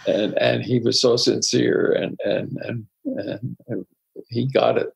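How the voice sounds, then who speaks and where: An older man, a psychologist guest, telling personal stories in a reflective, unhurried way with soft laughs. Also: mid-range voice, fairly even intonation, gravelly, slightly rough, low-quality recording. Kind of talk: conversational interview storytelling.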